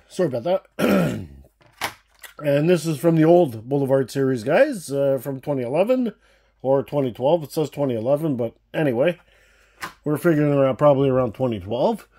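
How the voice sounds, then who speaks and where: Man, mellow voice, slight cough, chatty enthusiastic vlog host, casual unboxing. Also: medium pitch, animated, moderate pace, slight background noise. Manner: enthusiastic, chatty, addresses viewers as guys. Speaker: diecast collector channel host. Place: indoor room with shelves of toy cars.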